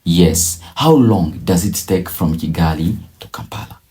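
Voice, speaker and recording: A man teaching a beginner language lesson, drilling each phrase slowly and repeating it several times. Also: low voice, lively intonation, mellow. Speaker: English teacher.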